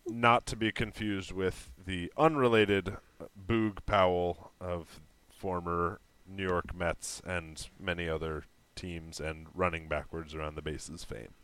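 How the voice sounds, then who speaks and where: A man's voice: sits low, steady tone, takes it slowly, few pauses, a bit bright, clear, resonant tone, no echo. A man co-hosting a podcast, trading dry, wry quips and reading out listener questions. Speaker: podcast co-host.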